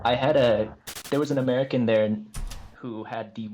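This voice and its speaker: A man's voice: mid-range voice, steady tone, normal speed, dull audio, noisy background. A man as a podcast guest, telling easygoing, self-deprecating stories in casual chat.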